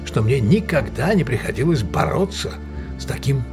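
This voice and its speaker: A man's voice: medium pitch, big pitch swings, moderate pace. An actor playing a shrewd male detective who lays out his deductions emphatically and theatrically in an audio drama. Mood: grave. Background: prominent music.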